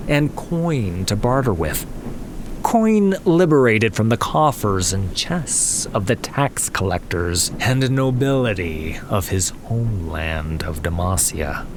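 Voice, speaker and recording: A man narrating a fantasy story in a measured, solemn way with weighted pauses, as in a scripted dramatic audiobook. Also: fairly low pitch, noisy background. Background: background music.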